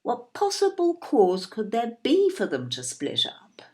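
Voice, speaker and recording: A woman's voice: a little high, wildly swooping pitch, unhurried, darkish tone. A woman acting in an audio play as an indignant, snobbish older English mother, fussy and emphatic, with an English accent. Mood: aggrieved disbelief. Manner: emphatic, repetitive, tag questions.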